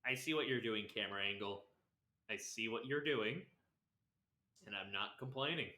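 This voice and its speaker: A man's voice: lowish voice, normal speed, sharp tone. A young man giving casual, sometimes sweary livestream commentary as he plays a game. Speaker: game streamer. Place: indoor room. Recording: echoey room.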